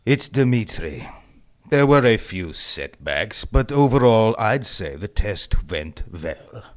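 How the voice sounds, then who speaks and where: A menacing male villain, arrogant and ominous in pulp radio-drama style. His voice sits fairly low and he speaks very slowly, with smug, measured weight. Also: very animated, slight background noise. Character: arrogant, menacing male henchman plotting against humans.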